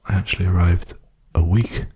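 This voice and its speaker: A young man speaking softly in a hushed, breathy, soothing whisper, rambling casually for an ASMR video. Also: sits low, steady tone, normal speed. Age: young adult.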